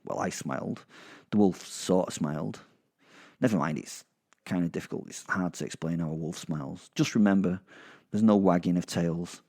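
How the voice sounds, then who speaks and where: A man telling first-person dark comedy horror stories in a sardonic, conversational, sweary manner as a petty werewolf. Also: low voice, moderate pace, creaky. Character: petty, sardonic werewolf narrating his own misdeeds. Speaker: podcast host and storyteller narrating in character.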